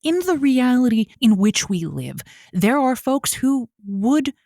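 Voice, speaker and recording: A woman's voice: a little high, big pitch swings, unhurried, dark tone, dry recording, studio quality. A woman playing a quirky, soothing radio advice host in a comedic audio drama, earnest and playful in her delivery. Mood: sympathetic.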